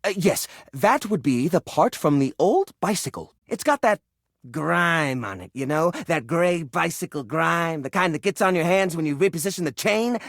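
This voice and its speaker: A man's voice: a little high, lively intonation, normal speed, creaky, dry recording, clean studio sound. A man voicing a personified junk drawer in a video game, a fussy, nervous, sentimental hoarder who talks like a flustered, theatrical curator. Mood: earnest, explaining. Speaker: video game character voice actor. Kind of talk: animated video game character acting.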